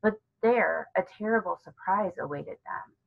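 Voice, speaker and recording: A woman narrating a children's novel as a read-aloud, with a husky voice. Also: mid-range voice, measured pace, narrow, tinny audio. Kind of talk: read-aloud storybook narration with character dialogue.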